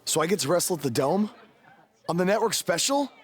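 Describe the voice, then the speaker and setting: Easygoing rookie, lively pitch. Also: male voice, brisk, husky, full character voice. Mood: surprised, eager. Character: easygoing rookie wrestler, eager and modest. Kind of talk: video game cutscene acting. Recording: faint ambient background noise.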